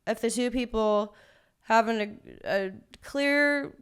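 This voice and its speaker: Clear-voiced young woman, breezy and candid. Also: fairly high pitch, takes it slowly. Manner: casual, many fillers, laughs things off. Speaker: podcast guest. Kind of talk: candid conversational interview.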